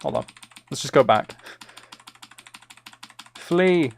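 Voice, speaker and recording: A man's voice: mid-range voice, huge pitch swings, slow, breathy edge. A man streaming casually while making music, thinking aloud to himself and often trailing off mid-thought. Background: synth and drum loops from music software, clicking.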